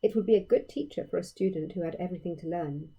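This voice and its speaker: A woman reading a children's story aloud in a measured, expressive storytelling way, leaning on key phrases. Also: on the low side, creaky, slightly rough, dull audio. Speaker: author reading own story. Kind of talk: expressive children's audiobook narration.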